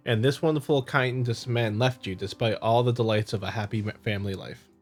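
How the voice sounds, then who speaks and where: A man's voice: mid-range voice, steady tone, brisk, faint music behind, close to an everyday voice. A man voicing a brusque, skeptical investigator in a visual novel, probing and dryly sardonic. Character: brusque, skeptical male investigator questioning a witness. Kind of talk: visual novel dialogue read aloud.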